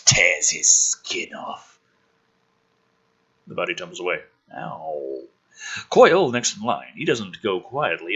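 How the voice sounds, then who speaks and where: A man's voice: fairly low pitch, pushed and bright, breathy edge. A man dramatically reading a script aloud as host, with sarcastic, sweary asides thrown in.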